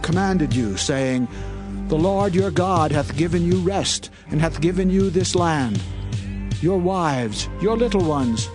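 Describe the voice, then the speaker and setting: A man's voice: fairly high pitch, close to an everyday voice. An actor playing a bold Israelite leader in dramatized scripture audio, declaiming his orders with authority. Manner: declamatory, commanding. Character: Joshua, bold male Israelite leader commanding people.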